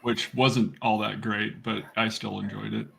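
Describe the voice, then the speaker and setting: A man's voice: fairly low pitch, level tone, measured pace, gravelly, a bit bright. A man on a group video call, rambling and searching for words as he talks. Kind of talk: casual group chat. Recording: noisy call with clicks.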